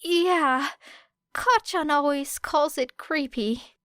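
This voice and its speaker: Woman voicing an anxious, very high-pitched teenage boy. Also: takes it slowly, a bit bright, dry recording. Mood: sheepish. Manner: stammering, indignant, pleading. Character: anxious, earnest, flustered teenage boy, pleading peacemaker. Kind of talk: audiobook character voice.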